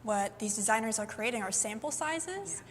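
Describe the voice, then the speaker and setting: Teenage woman with bright voice. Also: on the high side, expressive, moderate pace, big-room sound, a little hiss or hum. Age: late teens. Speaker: runway model.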